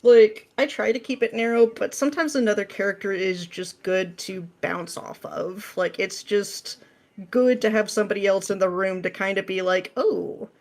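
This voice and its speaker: Chatty, jokey woman with a mid-pitched voice. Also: fairly even intonation, moderate pace, a little mellow. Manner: anecdotal, jokey, often laughing as talking. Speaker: interview guest, script writer. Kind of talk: casual chat.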